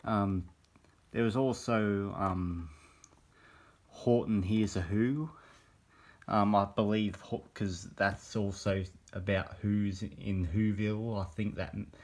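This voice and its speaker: Man, 20s, very low voice, croaky with a cold, hesitant casual vlog, phone camera. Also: steady tone, a little mellow. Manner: hesitant, meandering, many pauses. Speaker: vlogger. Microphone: handheld phone camera.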